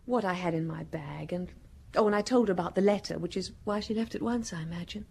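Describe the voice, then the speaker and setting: An actress playing a haughty young Parisian wife in a radio drama, sharp-tongued, flippant and disdainful. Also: female voice, lowish voice, quick pace, creaky, a little hiss or hum. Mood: dismissive. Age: young adult. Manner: disdainful, theatrical, gossipy.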